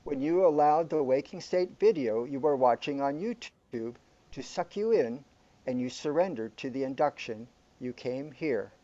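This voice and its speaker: An actor playing a calm, all-knowing guide in a surreal sci-fi radio drama, explaining things in a formal, matter-of-fact way. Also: male voice, mid-range voice, normal speed, lo-fi sound, close to an everyday voice. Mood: calmly explaining.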